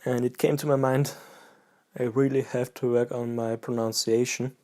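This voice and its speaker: A man from Austria speaks English with an Austrian German accent in a relaxed, halting chat to his viewers. His voice is mellow and dark, and his pitch stays flat, with little rise or fall. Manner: halting, relaxed, pauses mid-sentence. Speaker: pipe-smoking vlogger. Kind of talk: casual chat to camera.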